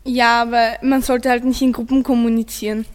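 Teenage girl with fairly even, high voice. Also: a little fast, long reverb tail. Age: teenage student. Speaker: student discussion guest. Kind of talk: earnest discussion.

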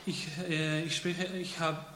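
A man whose first language is Arabic, speaking in halting sentences full of restarts and fillers. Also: on the high side, flat delivery, fairly bright tone. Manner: halting learner's speech, many restarts. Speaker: interviewee, footballer. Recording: echoey room, faint noise.

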